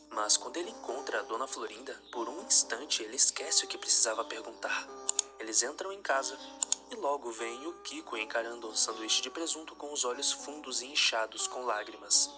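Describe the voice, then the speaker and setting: A man narrates a dark scripted story in a mid-range voice. Music plays clearly behind his reading. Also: medium pitch.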